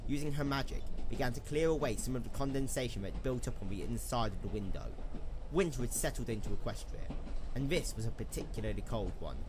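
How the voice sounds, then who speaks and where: A man's voice: medium pitch, animated, fast. A man reading scripted story narration for an amateur audio drama on a noisy microphone.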